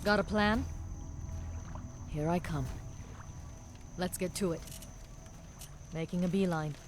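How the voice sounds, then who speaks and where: An actress playing a tough, vengeful military commander in a strategy game, terse and commanding. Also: female voice, lowish voice, takes it slowly. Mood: brisk, determined. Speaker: video game character voice. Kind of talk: scripted military strategy game acting, clipped unit responses. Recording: game audio with loud music.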